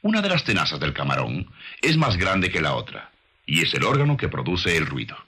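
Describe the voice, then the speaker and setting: A man's voice: low-pitched, huge pitch swings, fairly quick, bright, forceful tone, slightly rough. A man delivering scripted nature documentary narration in a didactic manner, addressing the listener as a teacher would. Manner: didactic, rhetorical questions to the listener.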